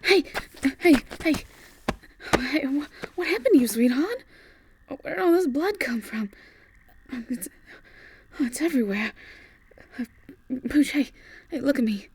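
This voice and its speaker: A woman playing a tender, worried Southern US farmer sweetheart in an audio roleplay, in a breathy, husky voice that is often near a whisper, with a soft, halting drawl. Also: sits high, lively intonation, slight background noise. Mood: alarmed. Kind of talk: ASMR audio roleplay acting.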